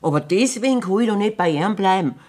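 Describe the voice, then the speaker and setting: A woman's voice: mid-range voice, expressive, fast, a little mellow, close to an everyday voice. A distressed Bavarian farmer's wife played by a woman speaks plainly and plaintively in dialect. Her intonation rises and falls strongly, in the manner of a radio play. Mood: distressed, pleading.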